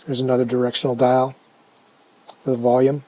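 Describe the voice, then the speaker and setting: A man casually narrating a hands-on restoration video, unhurried and halting as he thinks aloud. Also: mid-range voice, completely flat delivery, mellow, poor audio. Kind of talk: casual narrated how-to commentary.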